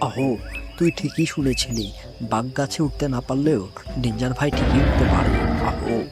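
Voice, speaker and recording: A man voicing a boastful, menacing forest bully in a cartoon dub, with a slow, swaggering delivery. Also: medium pitch, over music, natural voice.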